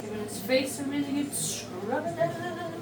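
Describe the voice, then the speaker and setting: A woman's voice: high voice, expressive, a little slow. A woman with a Scottish accent who works as a dog groomer, chatting and rambling casually while she bathes a dog. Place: grooming room. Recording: echoey room, far from mic, loud water noise.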